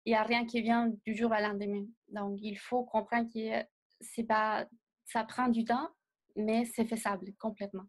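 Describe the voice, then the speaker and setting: A young woman, not a native speaker of the language, answering interview questions as a designer in a measured way and often pausing to find words. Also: on the high side, little pitch movement. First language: not French. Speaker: designer guest.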